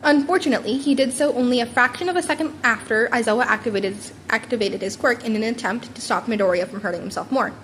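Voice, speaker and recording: A woman's voice: fairly high pitch, quick pace, few pauses, fairly bright tone, slight background noise, poor audio. A woman reading fan fiction aloud in a wry, informal way, often stumbling and repeating words. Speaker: podfic reader narrating fan fiction. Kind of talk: scripted narration read aloud.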